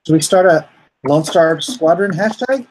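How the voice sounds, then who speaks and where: A man hosting a livestream in a casual, chatty way, informal and given to excited exclamations, often halting as he goes. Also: on the high side, a little hiss or hum, rough recording. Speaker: livestream host and comic artist. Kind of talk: casual livestream chat, reacting and thanking viewers.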